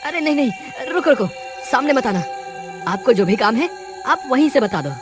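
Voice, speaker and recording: A nervous male woodcutter in a cartoon stammers in a jumpy, lively voice, played by a voice actor. Music runs under him. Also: very high voice, normal speed. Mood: terrified. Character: fearful poor male woodcutter. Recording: music behind the voice.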